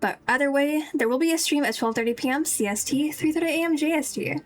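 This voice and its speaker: Female streamer, high voice, chatty and enthusiastic, casual livestream delivery. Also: fast, faint music behind. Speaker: VTuber game streamer.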